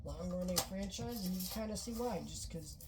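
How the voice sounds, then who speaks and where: A woman with a lowish voice who is sick, and her voice sounds off. She reviews a film in a loose, rambling way, full of "um"s and restarts. Faint room ambience sits behind her. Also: normal speed, noisy background. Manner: rambling, many filler words and restarts. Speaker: movie reviewer. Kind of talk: casual spoken film review.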